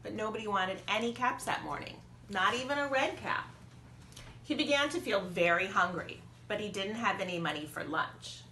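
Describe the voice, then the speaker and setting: A woman preschool teacher reading a storybook aloud to young children, expressive and deliberate in her emphasis. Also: medium pitch. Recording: echoey room with faint noise.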